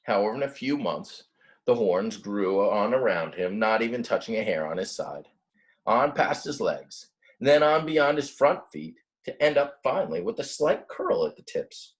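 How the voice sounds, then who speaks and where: A man's voice: medium pitch, expressive, moderate pace, poor audio. A man reading a children's book aloud expressively, like a teacher, with simple, casual explanations along the way. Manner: explains words simply in plain asides. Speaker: read-aloud storyteller, teacher.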